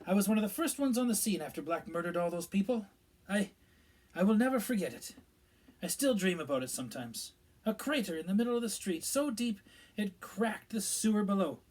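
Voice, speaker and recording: A man's voice: high voice, animated, brisk, a little mellow, voice pushed up. A man doing a pompous, fussy middle-aged minister. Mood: solemn, pompous. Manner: pompous, self-important, evasive.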